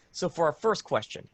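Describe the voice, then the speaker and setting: A man interviewing a guest about video games in an informal, somewhat hesitant way. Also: fairly high pitch.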